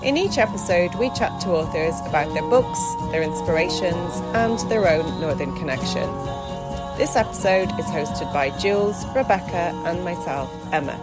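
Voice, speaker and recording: A woman co-host reads a scripted opening for a book podcast, in a lowish, steady voice. Loud music plays beneath her throughout. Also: normal speed, rough recording. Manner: read-aloud welcome.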